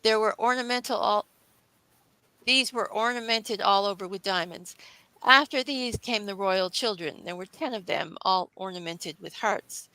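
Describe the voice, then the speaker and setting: A woman reads a classic story aloud as narrator. Her voice is bright and forward at a middling pitch, recorded close and dry. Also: moderate pace, clear, resonant tone, no echo, rough recording.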